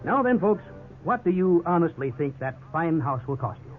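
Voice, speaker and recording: An actor playing an upbeat, evasive real estate salesman in a 1950s radio drama, with smooth, ingratiating sales patter. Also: a little high, very animated, normal speed, over loud music. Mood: salesy, upbeat. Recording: tinny, hissy 1950s radio recording.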